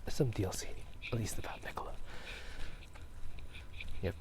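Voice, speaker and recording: A man whispering a casual, rambling ASMR chat in a halting, unhurried way while out walking through a town at night. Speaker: ASMR video host.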